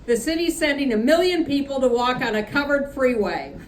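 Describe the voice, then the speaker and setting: A woman's voice: sits high, expressive, fairly quick, slight background noise. A woman reading aloud, voicing a blunt woman friend who fumes while delivering bad news. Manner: blunt, fuming. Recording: echoey room from a distance.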